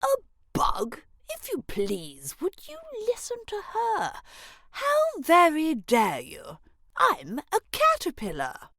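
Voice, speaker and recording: A woman doing a gruff tiny grub, high and squeaky. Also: wildly swooping pitch, slow pace, a bit bright, dry recording, clean studio sound, exaggerated voice. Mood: indignant. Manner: gruff, indignant, bossy. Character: grumpy gruff male caterpillar grub, tiny.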